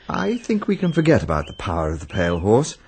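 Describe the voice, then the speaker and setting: British gentleman amateur detective, radio play: thoughtful, gently wry, husky, very expressive intonation. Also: lowish voice, quick pace, heavily acted. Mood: tender, amused. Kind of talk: British radio drama acting.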